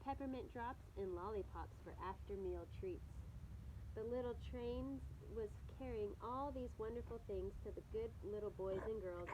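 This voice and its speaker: A woman's voice: mid-range voice, normal speed. A woman teacher reading a picture book aloud to children in a noisy home recording, with chatty asides to her young listeners.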